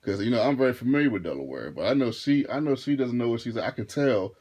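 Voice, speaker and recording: A deep-voiced man in his thirties telling a casual storytime to his viewers, rambling and restarting his phrases as he goes. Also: expressive, fast talker, darkish tone, slightly rough. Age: 30s. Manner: laid-back, rambling, repeats and restarts phrases. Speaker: vlogger and rideshare driver.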